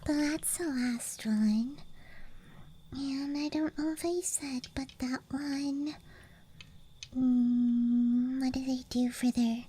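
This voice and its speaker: Young woman for ASMR: high, breathy whisper, very slow hushed musing with childlike wonder, close-miked over faint outdoor night ambience. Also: steady tone, slight background noise. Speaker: ASMR streamer playing a dice goblin persona. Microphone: close microphone. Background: night-time campground ambience.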